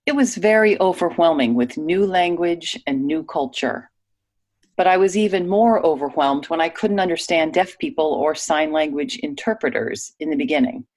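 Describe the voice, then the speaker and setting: A woman reading a guest's typed interview answers aloud in a clear, even manner. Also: low voice, moderate pace.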